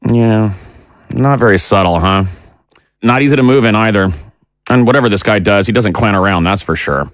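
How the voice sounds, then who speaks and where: An actor playing a soft-spoken, hesitant middle-aged father in an audio drama. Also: on the low side, moderate pace, clear, resonant tone, dry recording, studio quality, natural voice. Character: gentle, evasive middle-aged father and tailor.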